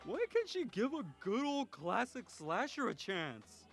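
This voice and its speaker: A grumbling young schoolboy in a game, with a very high voice. He sounds exasperated and complains in a lively, varied way. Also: measured pace, gravelly, faint music behind, full character voice. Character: grumbling young schoolboy who loves horror movies.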